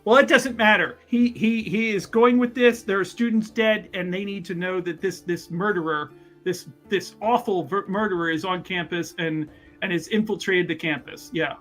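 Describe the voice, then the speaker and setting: A man's voice: high voice, normal speed, faint music behind. A man playing a tabletop roleplaying game, chatting casually and jokily as he describes his character in the third person. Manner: animated, jokey, narrates character in third person.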